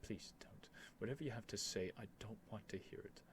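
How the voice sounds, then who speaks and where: A man's voice: lowish voice, lightly acted. Man acting lovestruck, stammering young prince, noisy background. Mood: pleading, resigned. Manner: halting, stammering, breathy sighs and whispers, emotional. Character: lovestruck young prince, earnest, nervous, devoted to dragon captor. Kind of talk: scripted ASMR roleplay addressed to the listener.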